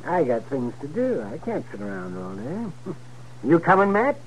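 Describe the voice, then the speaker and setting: An American actor playing a grumbling, crotchety old frontier town doctor in a 1950s radio Western. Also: male voice, a little high, huge pitch swings, unhurried. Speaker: supporting actor. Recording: faintly noisy 1950s radio recording.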